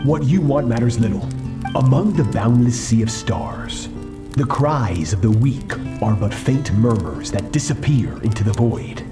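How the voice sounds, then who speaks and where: A man voicing a cold, ruthless, proud swordmaster in a dramatic video game, stern and measured. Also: medium pitch, expressive, over music, lightly acted. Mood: cold, dismissive.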